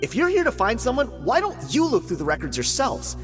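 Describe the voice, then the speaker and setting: A cowardly stammering bandit. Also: male voice, extremely high pitch, wildly swooping pitch, fairly quick, exaggerated voice. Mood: nervous. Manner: nervous, stammering, defensive. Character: cowardly male bandit guard, evasive and whiny. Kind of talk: video game voice acting. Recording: game audio with reverb and music.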